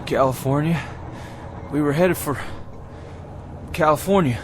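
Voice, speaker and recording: A man's voice: on the high side, unhurried, noisy background, natural voice. An actor playing a bewildered pioneer man from the 1800s who stammers and halts anxiously, in a 1960s television drama. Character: frightened 1800s pioneer man lost in time.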